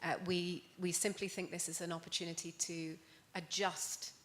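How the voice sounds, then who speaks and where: A woman on a conference panel answers calmly and reassuringly after a few hesitant starts. Her voice is a little low, with faint ambience behind it. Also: normal speed, slight background noise.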